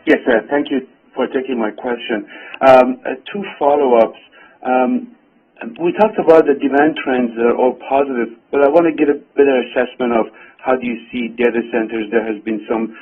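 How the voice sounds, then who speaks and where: Husky guy analyst asking questions on an earnings call, muffled lofi line. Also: mid-range voice. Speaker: financial analyst. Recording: dull lo-fi phone call.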